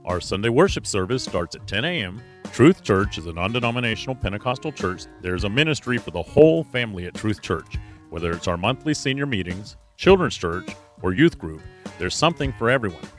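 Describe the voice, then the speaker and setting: Male church announcer with a lively delivery over music. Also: fairly low pitch, fairly quick. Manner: smooth, welcoming promotional read. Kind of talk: scripted announcement.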